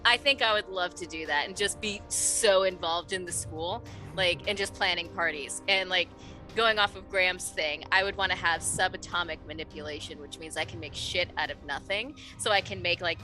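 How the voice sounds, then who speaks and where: Bright-voiced, chatty, enthusiastic woman. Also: fairly low pitch, moderate pace. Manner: chatty, informal, enthusiastic, swears freely. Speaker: player on a roleplaying stream. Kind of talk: casual chat. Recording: faint music behind. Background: music.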